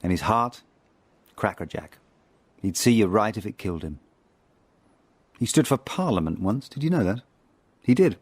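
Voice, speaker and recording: A man acting a reflective middle-aged spy, narrating his past in a radio drama in a measured, wry, literary way. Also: on the low side, animated, slightly rough, no room sound. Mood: wry, wistful.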